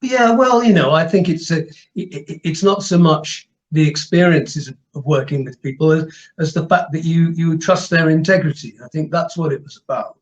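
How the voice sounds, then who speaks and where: A man's voice: fairly high pitch, moderate pace, dull audio. A man speaking as an interview guest in casual, thoughtful talk, hesitating and repeating words.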